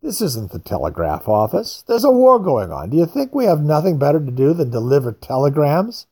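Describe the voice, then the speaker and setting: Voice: medium pitch, very animated, fairly quick, slightly rough. A reader voicing a stern military major who speaks firmly and sharply. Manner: firm, sharp, businesslike.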